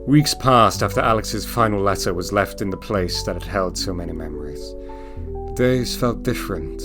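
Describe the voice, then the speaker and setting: A man's voice: lowish voice, natural voice. A man narrating an audio drama as a solemn storyteller, with scripted delivery. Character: solemn storyteller of a bereavement tale.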